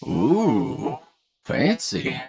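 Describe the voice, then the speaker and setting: Slow, wry sci-fi crewmate played by a man. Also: medium pitch, wildly swooping pitch, vocal fry, over music, full character voice. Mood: wry, amused. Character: wry, laid-back, folksy male sci-fi crewmate. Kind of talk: anime-style audio roleplay acting.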